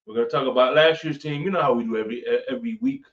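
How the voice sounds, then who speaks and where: A man's voice: mid-range voice, big pitch swings, very fast. A man hosting a casual podcast, talking in an enthusiastic, laid-back way full of slang. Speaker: podcast host. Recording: echoey, distant, dull home mic.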